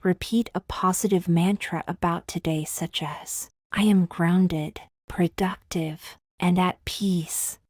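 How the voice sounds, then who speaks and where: A woman leading a scripted guided meditation in a soothing, gentle, measured way, with long pauses and soft, breathy phrase endings. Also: fairly low pitch, fairly even intonation, no room sound, clean studio sound.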